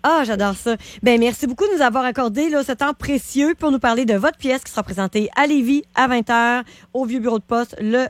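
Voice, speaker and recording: Bright-pitched radio host woman. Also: fairly quick, few pauses, no room sound. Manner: friendly, filler-heavy, enthusiastic interjections. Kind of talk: radio interview.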